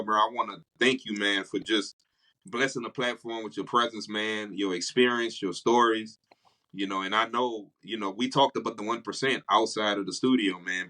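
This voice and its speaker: Low-voiced man, bright forceful podcast host. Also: low-quality recording. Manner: warm-hearted, emphatic, frequent "man". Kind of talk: casual interview talk.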